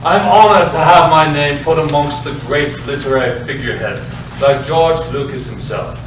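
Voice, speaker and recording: A man's voice: fairly high pitch, normal speed, natural voice. An actor playing a pretentious, self-important playwright who presents his play with theatrical pomp at a staged reading. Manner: pompous, theatrical. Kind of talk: staged reading, mock-grand introduction to audience. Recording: very echoey hall, distant, noisy.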